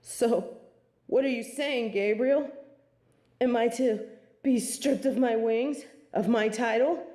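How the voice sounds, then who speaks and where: A woman acting a haughty, mellow-toned fallen angel. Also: on the high side, takes it slowly. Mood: indignant. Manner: haughty, theatrical, disdainful. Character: haughty fallen female angel, indignant, snobbish tsundere. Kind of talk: dramatic audio roleplay acting.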